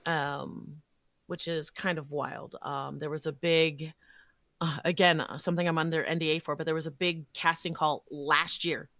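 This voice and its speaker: Female interview guest, a touch low in pitch, dry recording, casual and encouraging voice actor sharing experience. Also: little pitch movement, normal speed, a bit bright, no echo.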